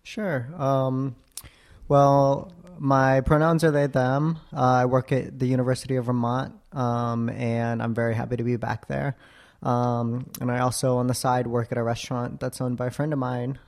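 A man in his twenties from Florida, answering interview questions in a thoughtful, hesitant way with many pauses and ums. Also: medium pitch, flat delivery, gravelly, a little mellow. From Florida, USA. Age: 20s. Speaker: interview guest. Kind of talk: casual interview.

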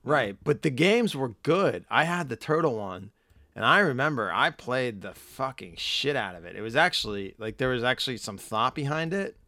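A man in casual chat, rambling with lots of fillers and swearing, hoarse as he loses his voice. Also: mid-range voice, animated, normal speed, pushed and bright, no echo, studio quality. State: losing his voice. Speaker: podcast co-host.

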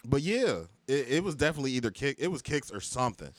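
A man's voice: medium pitch, very animated, quick pace, dry recording. A man hosting a podcast, telling stories in a laid-back, slangy way and laughing often. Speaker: podcast host. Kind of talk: casual chat.

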